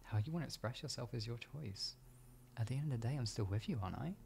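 A man playing a protective, affectionate boyfriend in an improvised ASMR roleplay, speaking in a husky, soft, reassuring and intimate way. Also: mid-range voice, expressive, fast, slight background noise. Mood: tender, reassuring. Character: protective, affectionate boyfriend comforting his insecure partner.

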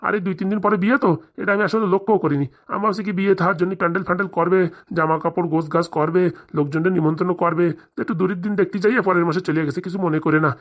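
A man's voice: high-pitched, steady tone, rapid-fire, natural voice. Cartoon comedy: male actor as garrulous, greedy elderly village priest, breakneck delivery. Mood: apologetic. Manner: rambling, repetitive, folksy.

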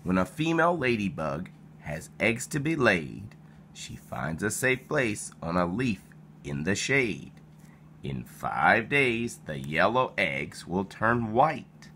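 A man reading a children's picture book aloud in an expressive storytelling style, animated and playful. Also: lowish voice, slow pace, a little hiss or hum. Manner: animated, with playful exclamations and sound effects.

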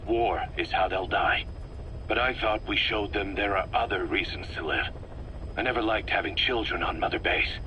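An actor playing an earnest, embittered middle-aged military second-in-command in a video game, talking tersely. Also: male voice, fairly low pitch, pushed and bright. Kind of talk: dramatic video game monologue. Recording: faintly noisy cassette tape recording.

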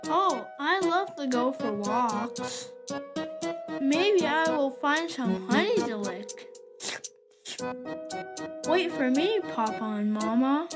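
An eager little baby bear, cartoon kid voice, high and drawn out. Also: female voice, animated, exaggerated voice. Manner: slow, singsong, childlike. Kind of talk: children's cartoon voice acting. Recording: loud music behind the voice.

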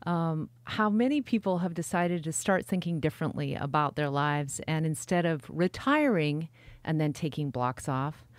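A woman's voice: low-pitched, normal speed, no room sound. A woman hosting a podcast, asking questions in a conversational interview style.

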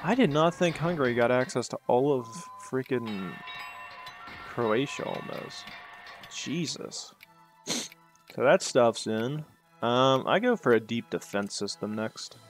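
A man's voice: mid-range voice, expressive, takes it slowly, faint music behind. A man hosting his own gaming channel, thinking aloud while he plays, rambling and tossing in sarcastic asides to himself. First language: English. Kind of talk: casual strategy-game commentary.

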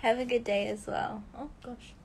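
Young actress chatting with fans on a phone live stream; gravelly edge, light halting delivery. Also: female voice, a little high, steady tone, slight background noise. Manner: reads comments softly, answers with halting restarts. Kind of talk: casual live stream answering fan comments.

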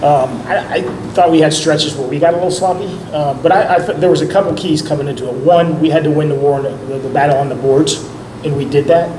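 A middle-aged man, a head coach, answering post-game questions in a measured way at a press conference table mic. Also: fairly high pitch, steady tone. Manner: measured, repeats words when starting sentences. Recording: echoey room with background noise.

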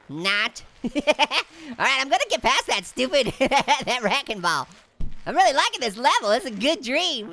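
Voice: high voice, gravelly, sharp tone, a little hiss or hum, full character voice. A performer voicing a cocky, joking talking orange who comments on a game, goofy and full of yelps. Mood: excited. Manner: goofy, jokey, yelps and laughs. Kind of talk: cartoon character playing a game on camera.

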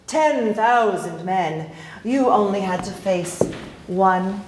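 Woman acting as cunning Russian lady spy, stage drama, deliberate dramatic delivery, roomy echo, faint noise. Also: mid-range voice, lively intonation. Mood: mocking. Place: indoor theatre stage. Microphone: distant camera. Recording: echoey stage from afar, noisy, clicks.

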